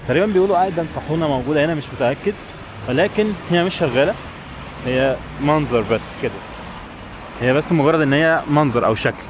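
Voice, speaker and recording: Egyptian guy doing a walking travel vlog, talks quick and chatty to viewers, noisy street outside. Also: mid-range voice. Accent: Egyptian Arabic. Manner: chatty, addresses viewers directly. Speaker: travel vlogger. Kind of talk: casual walk-and-talk travel commentary. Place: outdoors, walking in streets and a village. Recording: noisy outdoor street and traffic sound.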